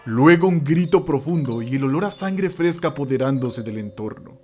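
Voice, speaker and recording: A man tells a scripted horror story in a mid-range voice. His delivery is theatrical and suspenseful, broken by dramatic pauses, with music beneath it. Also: mid-range voice, expressive, darkish tone. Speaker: narrator.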